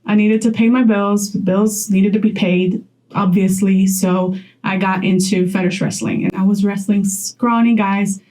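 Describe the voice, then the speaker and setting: A woman's voice: medium pitch, steady tone, a little slow, darkish tone, rough recording. A young woman from Poland talking straight to camera in a chatty vlog, laughing often as she gives advice. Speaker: content creator.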